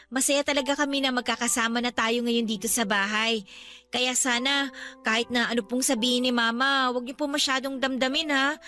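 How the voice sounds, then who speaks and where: An actress playing a devoted young adult Filipino daughter, gentle, respectful and reassuring, in a radio drama. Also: female voice, fairly high pitch. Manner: caring, respectful. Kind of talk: Tagalog radio drama acting.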